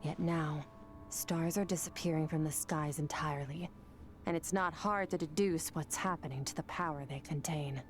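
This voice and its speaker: A woman's voice: low-pitched, steady tone, normal speed, faint music behind, natural voice. A woman voicing a cold, aloof female warrior who speaks in a curt, detached manner, in game dialogue.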